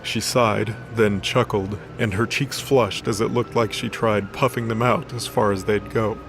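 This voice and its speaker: A man's voice: lowish voice, fairly even intonation, unhurried, background noise. A man narrating a scripted dramatic story as the storyteller of an audio drama. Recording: voice over background music.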